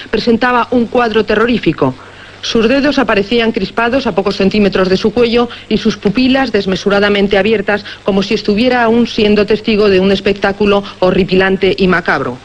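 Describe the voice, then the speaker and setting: A man playing a formal, measured radio newsreader in a radio drama, reading a grim bulletin. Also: high-pitched, highs cut off, natural voice.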